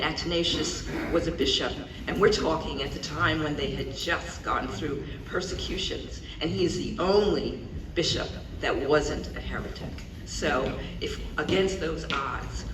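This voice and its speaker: A man speaks earnestly and hopefully to an audience, with some restarts. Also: fairly high pitch. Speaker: priest and guest speaker. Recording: echoey hall, distant and noisy.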